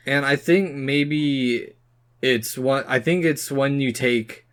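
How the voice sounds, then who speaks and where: A man's voice: medium pitch, slow, a bit bright. A man co-hosting a podcast, chatting casually in a laid-back, somewhat hesitant way. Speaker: podcast co-host. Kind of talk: casual conversational podcast chat.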